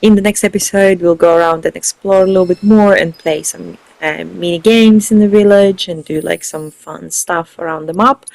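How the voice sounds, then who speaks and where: Gaming host, casual playthrough commentary, rambling, informal, thinking aloud, medium pitch, dry sound. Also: steady tone, normal speed, creaky, hardly any pauses, darkish tone, dry recording, poor audio.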